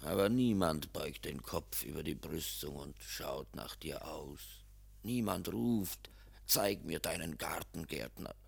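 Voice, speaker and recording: An old gardener, lonely and slightly crazed, in a radio drama. His voice is extremely low and full of gravel. He speaks slowly, brooding as if only the earth listens. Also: heavily acted. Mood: wistful. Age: older. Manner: slow, brooding, talking to himself. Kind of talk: radio play acting.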